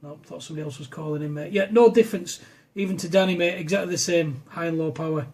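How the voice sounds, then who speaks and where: A man's voice: on the high side, quick pace, a little mellow. A man chatting casually as an amateur radio operator, demonstrating his equipment in an informal, conversational way. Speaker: amateur radio operator filming a demo video.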